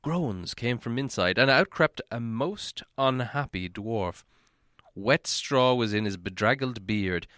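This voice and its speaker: A man reading a fantasy novel aloud as an audiobook narrator, in dramatic, measured storytelling. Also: medium pitch, expressive, fairly bright tone, no room sound, studio quality.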